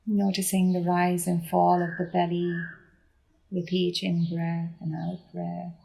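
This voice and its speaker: A woman guides a meditation very slowly and gently. Her voice sits a little low, with a soft, mellow tone. She leaves deliberate pauses between short, unhurried phrases. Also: completely flat delivery, gravelly, poor audio. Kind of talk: guided body scan meditation.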